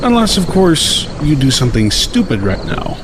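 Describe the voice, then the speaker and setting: A man's voice: a little high, very animated, normal speed, noisy background. A man voicing a cynical, mercenary male unicorn pony with a bored, snide drawl in an audiobook story. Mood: cold threat.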